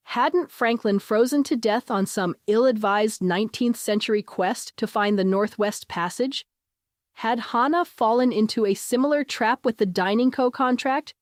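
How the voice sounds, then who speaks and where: Lady reading a story aloud, scripted narration, mid pitch, really dry sound. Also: a little mellow, dry recording.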